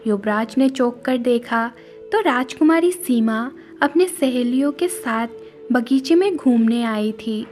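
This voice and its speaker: A woman's voice: fairly high pitch, expressive, measured pace, darkish tone. A woman narrating a scripted cartoon story as its storyteller. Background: music.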